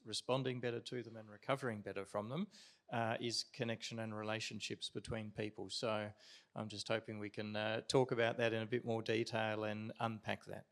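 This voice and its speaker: Psychologist on a panel, mid-pitched man, steady and measured. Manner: measured, many uhs. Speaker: psychologist, disaster recovery panelist. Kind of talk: panel discussion.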